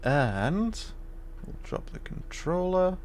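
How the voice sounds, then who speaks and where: A man's voice: high-pitched, big pitch swings, takes it slowly, a little hiss or hum. A man giving casual, informal commentary on a gaming livestream, chatting to viewers.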